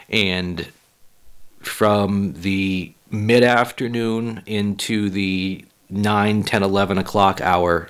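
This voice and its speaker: Low-voiced guy, kinda hoarse, swearing and rambling on his own podcast. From Boston area. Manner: profane, rambling, self-deprecating. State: a little hoarse. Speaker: podcast host and stand-up comic. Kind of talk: casual solo podcast monologue.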